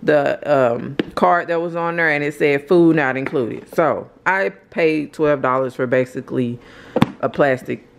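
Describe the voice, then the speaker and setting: A woman's voice: low-pitched, measured pace. Casual American woman vlogger, noisy recording. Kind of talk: casual haul show-and-tell. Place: indoors at home. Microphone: handheld camera, speaker behind it. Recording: clear background noise and handling clicks.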